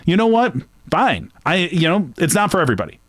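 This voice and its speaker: A man with a somewhat high voice hosts his own sports betting channel. He talks at length in a loose, earnest, conversational way, leaning hard on some points and going quiet on others. The sound is dry and close. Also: sing-song, fairly quick, dry recording. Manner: rambling, earnest, conversational, swinging between emphatic and hushed; long-winded. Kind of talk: reflective solo monologue to camera.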